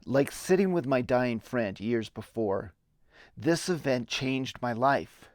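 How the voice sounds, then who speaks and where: A middle-aged man giving a dramatic reading of his own memoir in deliberate, theatrical storytelling. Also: medium pitch, animated, darkish tone, no echo, clean studio sound. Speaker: narrator and videographer. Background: music bed.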